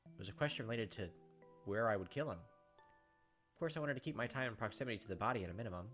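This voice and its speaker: A man's voice: on the low side, very fast, a bit bright. A man hosting a playthrough, reading a visual novel aloud as emphatic dramatic narration with casual asides. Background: game music.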